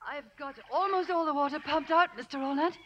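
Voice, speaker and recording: A woman's voice: sits high, brisk, natural voice. An actress playing a prim, strong-willed lady with proper, formal diction in a 1950s radio drama. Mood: tender, flustered. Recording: reverberant old radio recording with music.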